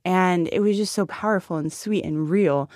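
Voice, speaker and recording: Low-voiced woman chatting casually. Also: normal speed, a little mellow, dry recording, studio quality. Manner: informal, youthful phrasing peppered with "like". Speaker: podcast co-host. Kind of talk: casual devotional chat.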